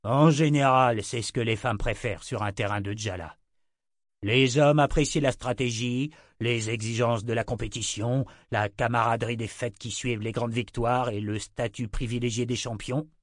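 A man's voice: mid-range voice, steady tone, moderate pace, dry recording, studio quality, natural voice. A man reading an audiobook, voicing a cold, contemptuous emperor who lectures in a condescending tone.

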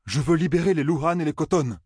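A man's voice: medium pitch, quick pace, a little mellow, slightly rough, no echo, clean studio sound, pitched above the performer's own voice, lightly acted. A man voicing an earnest young blacksmith who gives orders firmly. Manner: firm, commanding.

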